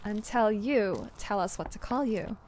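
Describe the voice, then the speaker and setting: A woman's voice: mid-range voice, lively intonation, unhurried, darkish tone, slight background noise, natural voice, poor audio. A woman playing a teasing, unflappable and secretive co-worker in an audio drama, playful and nonchalant. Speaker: podcast drama actor.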